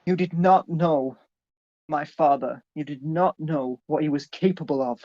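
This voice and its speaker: Man acting a stern, higher-pitched domineering father. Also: measured pace, darkish tone, muffled recording, natural voice. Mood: angry, bitter. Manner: curt, dismissive, commanding. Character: stern, domineering middle-aged businessman father. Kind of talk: amateur dramatic reading of a story.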